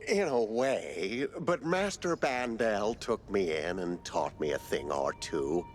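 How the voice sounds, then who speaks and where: An actor playing an eccentric, servile lab caretaker, theatrical and rambling, in a cartoon game. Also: male voice, fairly low pitch, expressive, slow pace, soft background music. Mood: cryptic, eerie. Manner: theatrical, babbling, obsequious.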